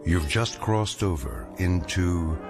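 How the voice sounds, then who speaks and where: Grave male narrator, lowish pitch, measured, portentous, radio drama, music underneath. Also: expressive. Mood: ominous.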